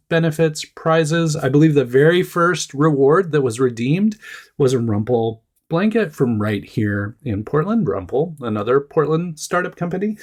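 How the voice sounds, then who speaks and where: Nasal, monotone man hosting news. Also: medium pitch. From Oregon, United States. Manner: chatty, enthusiastic, laughs between phrases. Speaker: startup news podcast host. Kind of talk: casual solo news commentary.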